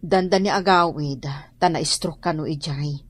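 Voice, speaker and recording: A woman's voice: sits low, normal speed, dry recording. An actress playing a judgmental, gossiping village woman in a radio drama. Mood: gossipy, matter-of-fact. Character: gossiping village woman, disapproving of a spendthrift family.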